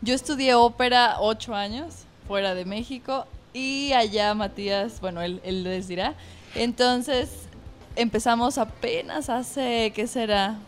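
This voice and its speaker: A woman's voice: on the high side, measured pace, soft background music. A woman with a Mexican accent chatting casually and informally as a singer guest on a show. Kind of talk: casual chat.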